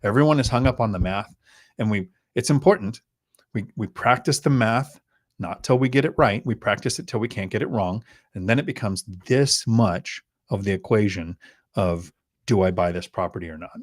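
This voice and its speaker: Thailand-born man, low-ish voice, blunt teacher energy, podcast guest. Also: moderate pace. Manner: emphatic, instructive, blunt. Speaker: guest real estate investor. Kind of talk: casual podcast advice.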